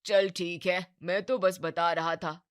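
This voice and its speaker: An older neighbourhood uncle played by a woman gives advice in a lowish voice. He is admonishing, a familiar elder from an animated moral tale. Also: takes it slowly, smooth. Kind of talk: Hindi animated moral story dialogue.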